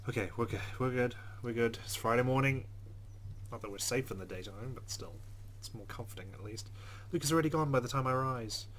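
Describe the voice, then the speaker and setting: A man hosting a let's play, reading the game's narration aloud expressively with quieter casual asides. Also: medium pitch, a little hiss or hum. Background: guitar game music.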